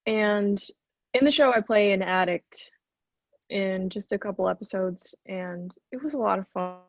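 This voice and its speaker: A woman's voice: mid-range voice, a little slow, dry recording, highs cut off. Easygoing woman with a light Arkansas accent. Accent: light Arkansas Southern. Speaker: actor, interview guest. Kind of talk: casual interview chat.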